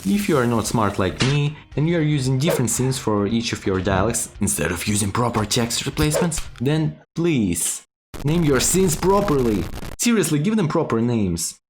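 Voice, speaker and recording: A man's voice: medium pitch, sing-song, normal speed, background noise. A man narrating a tongue-in-cheek video tutorial in a playful, mock-serious, emphatic way. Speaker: video creator giving sarcastic game-making tips.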